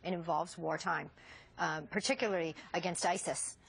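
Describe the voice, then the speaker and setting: A woman news anchor introducing a segment and questioning a guest in brisk, inquisitive fashion on live TV. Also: lowish voice, steady tone, a bit bright, husky.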